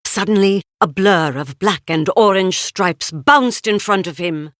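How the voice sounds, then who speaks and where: A woman reading a children's storybook aloud in an expressive, deliberate way. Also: mid-range voice, no room sound, studio quality. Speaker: storybook narrator. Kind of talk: scripted read-aloud children's story narration.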